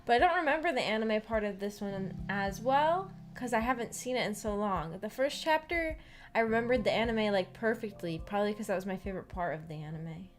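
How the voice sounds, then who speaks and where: A woman's voice: medium pitch, expressive, brisk. A woman hosting a casual livestream book club, chatting informally with viewers in a giggly, rambling way with false starts. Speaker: livestream host of a visual novel book club. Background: background music.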